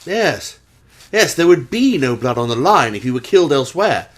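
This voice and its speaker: A man acting an earnest, sympathetic gentleman companion in a radio drama, with a husky voice. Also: medium pitch, big pitch swings, moderate pace. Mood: musing, eager.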